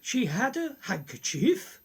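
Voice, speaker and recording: A creaky, slow voice from an actress plays a courteous professor in a radio drama. The questions are formal and sceptical, with a lively rise and fall in pitch. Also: female voice, heavily acted. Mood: puzzled, probing. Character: concerned, courteous professor, a sceptical family friend. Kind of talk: radio play acting.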